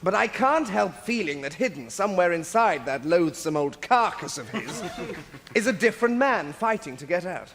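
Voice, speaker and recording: An actor playing a genial, good-humored nephew hosting a Christmas party, jovial and speechifying, in a radio drama. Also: male voice, sits high, expressive, moderate pace, fairly bright tone. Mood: affectionate. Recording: hall reverb, crowd behind.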